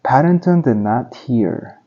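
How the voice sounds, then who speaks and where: A man narrating a children's storybook aloud in simple, deliberate phrasing for young listeners. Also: mid-range voice, expressive, mellow, highs cut off.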